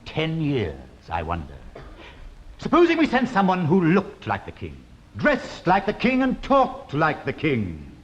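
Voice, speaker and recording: A man's voice: a little high, wildly swooping pitch, measured pace. An actor playing a scheming, pompous male courtier with a haughty, instructive manner. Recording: dull stage recording.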